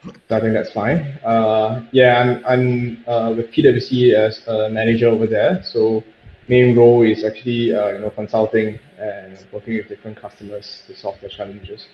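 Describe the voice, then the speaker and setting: Flat-voiced man in an echoey room. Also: lowish voice, moderate pace, lo-fi sound, slight background noise. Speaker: consultant, guest speaker. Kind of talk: casual self-introduction. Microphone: video call.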